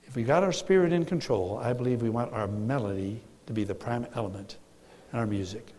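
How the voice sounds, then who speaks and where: A man's voice: medium pitch, fairly quick, mellow, a little hiss or hum. An older man teaching a congregation about church music from a lectern, earnest and emphatic with dry humor. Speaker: guest speaker on church music. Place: large church sanctuary. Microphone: lectern mic. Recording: long church hall reverb.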